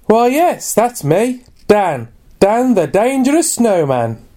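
Woman voicing a dopey, gentle snowman-man, mellow, lively pitch. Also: slow. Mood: mild. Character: dim, good-natured man dressed as a snowman.

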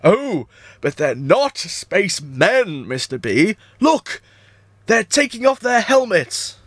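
A man's voice: sits high, big pitch swings, takes it slowly, fairly bright tone, no room sound, studio quality, exaggerated voice. A man voicing a panicky, eccentric mad scientist in a comedy audio sketch, melodramatic and exclamatory. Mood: grave, dramatic.

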